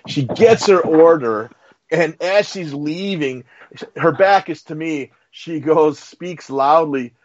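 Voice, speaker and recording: Male co-host, casual storyteller, slightly muffled lo-fi sound. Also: fairly high pitch. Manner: storytelling, animated retelling. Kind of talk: casual chat. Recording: muffled lo-fi audio.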